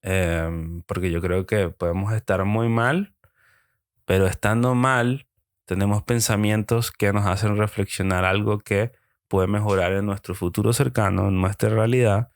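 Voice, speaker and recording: Slow, low-voiced Venezuelan man musing. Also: fairly even intonation, dry recording, clean studio sound. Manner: hesitant, with frequent ums and pauses. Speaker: podcast host. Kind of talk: solo reflective monologue.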